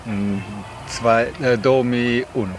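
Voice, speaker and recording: A Romanian man in his fifties with a Romanian accent, answering interview questions haltingly and searching for words. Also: medium pitch, fairly even intonation, background noise. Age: 50s. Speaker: tango teacher, interview guest. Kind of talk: interview answers, switching between two languages.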